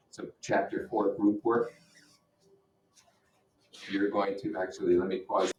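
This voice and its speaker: A man teaching, reading a novel aloud in an informal way with blunt asides. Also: deep voice. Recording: roomy echo, distant microphone.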